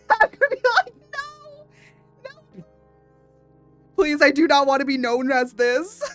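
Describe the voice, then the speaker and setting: A young woman streaming, her voice hoarse from laughing, breaking into giggles as she chats. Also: high voice, sing-song, slow, fairly bright tone, no room sound, soft background music. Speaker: VTuber artist streamer. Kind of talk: casual live stream chat.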